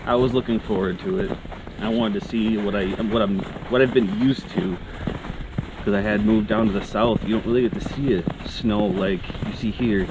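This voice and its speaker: A man with a fairly low voice chats casually while out walking with a companion. The recording is made outdoors, and loud ambient noise runs heavily behind his speech. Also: fairly even intonation, moderate pace. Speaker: hiking companion. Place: outdoors on a snowy woodland trail.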